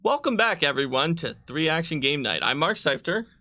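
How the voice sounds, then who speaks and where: A man with a slightly high, very bright voice co-hosts a tabletop game stream. He explains rules in a casual, conversational way. The sound is dry, with little room to it. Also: sing-song, moderate pace, no room sound.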